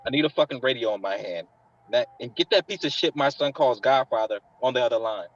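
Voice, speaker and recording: An impatient, angry army captain acted by a man at a medium pitch, blunt and commanding. It is a drama table read on a dull microphone. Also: a little fast, close to an everyday voice. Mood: seething.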